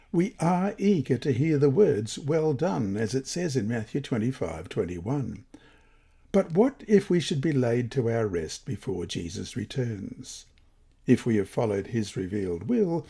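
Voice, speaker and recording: A man's voice: mid-range voice, mellow, breathy edge. A man reading a scripted religious study lesson as a volunteer presenter. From Australia.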